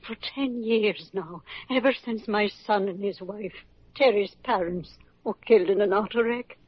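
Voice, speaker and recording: A woman's voice: mid-range voice, moderate pace, slightly rough, natural voice. An actress playing an anxious, humble grandmother and cleaning woman in an old radio drama, deferential and pleading. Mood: distressed, tearful. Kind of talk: 1940s-50s radio drama acting. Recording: dull old radio recording.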